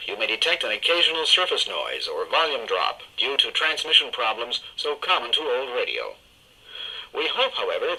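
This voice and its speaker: Announcer: man, formal, piercingly bright and husky, lively inflection. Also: mid-range voice, rough recording. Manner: formal, deliberate. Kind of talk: formal scripted radio disclaimer.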